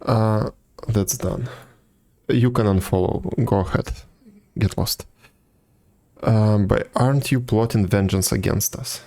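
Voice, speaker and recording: This is a man live-streaming a game in a very low voice with plenty of creak. He comments casually and drily, now and then reading the dialogue out in an offhand way. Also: takes it slowly, mellow.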